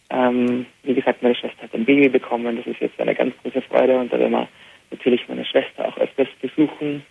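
A young man, a native Arabic speaker with an Austrian German accent, answering a radio interview by phone in an easy manner with many filler pauses. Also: medium pitch, gravelly. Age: young adult. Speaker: interview guest, band musician. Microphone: telephone. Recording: tinny phone line with faint hiss.

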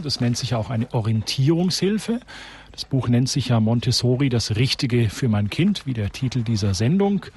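A man's voice: medium pitch, darkish tone, breathy edge. A man hosting a radio interview, putting questions to guests and passing on practical information to listeners. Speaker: radio host.

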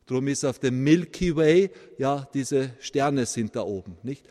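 A man's voice: mid-range voice, measured pace, in a large hall. A man from Vienna with a Viennese accent, preaching a sermon with emphatic peaks and frequent asides. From Vienna, Austria. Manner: animated preaching, rising to emphatic peaks, tag questions like "ja?". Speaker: priest.

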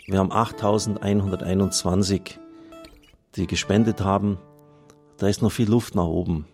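Low-voiced man over guitar music. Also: steady tone, normal speed, darkish tone. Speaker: radio host and priest. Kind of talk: reading out listener testimonials and appealing for donations on air.

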